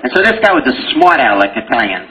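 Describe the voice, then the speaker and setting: A man's voice: medium pitch, sing-song, fast, pushed and bright, some chatter behind, low-quality recording. A man teaching a medical exam review lecture, joking and quizzing his audience with rhetorical questions.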